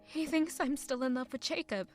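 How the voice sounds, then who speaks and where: An actress playing a young maid who is defensive and in love, thinking aloud with strong emotion in an audio drama. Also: female voice, high-pitched, normal speed, faint music behind, close to an everyday voice. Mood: pensive.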